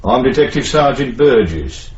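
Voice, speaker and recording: An actor playing a cynical, weary police sergeant in a radio drama, with a British accent and a brusque, sardonic manner. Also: male voice, medium pitch, animated, normal speed, lightly acted. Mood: calm, official. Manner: brusque, world-weary, sardonic, officially polite. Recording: dull, lo-fi 1970s radio recording.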